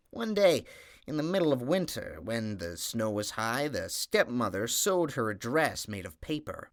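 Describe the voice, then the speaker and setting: A man reading a fairy tale aloud, steady storytelling that slows for emphasis. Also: on the low side, dry recording, clean studio sound.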